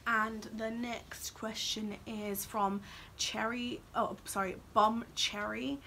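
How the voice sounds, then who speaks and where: A woman's voice: on the high side, little pitch movement, slow, vocal fry, sharp tone, slight background noise. A woman, chatting casually and ramblingly to camera as a vlogger, saying "like" often. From Wales and Australia. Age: 20s. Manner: rambling, informal, heavy use of "like".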